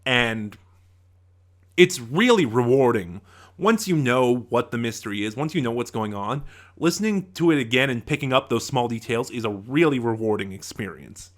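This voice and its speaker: A man reviewing in a casual, unscripted way as host, halting at times, trailing off and circling back mid-thought. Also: medium pitch, lively intonation.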